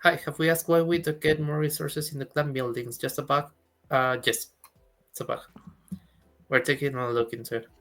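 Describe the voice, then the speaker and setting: A man co-hosting a live stream, reading viewer questions aloud and reacting excitedly. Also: on the high side, moderate pace. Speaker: co-host community manager. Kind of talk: casual live stream chat.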